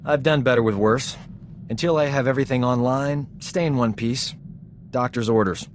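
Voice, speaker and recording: A man's voice: mid-range voice, moderate pace, a little hiss or hum, natural voice. An actor voicing a cocky, vain male doctor in a video game, breezy and teasing as he lays out his demands. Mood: flippant. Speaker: ship's medic.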